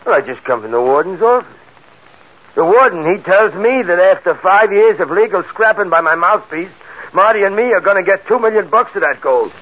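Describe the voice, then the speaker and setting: Excitable, high-pitched slangy crook. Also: male voice, brisk. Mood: matter-of-fact, eager. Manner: rough slangy underworld talk, breaking into manic laughter. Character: excitable, hysterical small-time male convict and bank robber. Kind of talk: 1940s radio drama acting. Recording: dull lo-fi old radio recording.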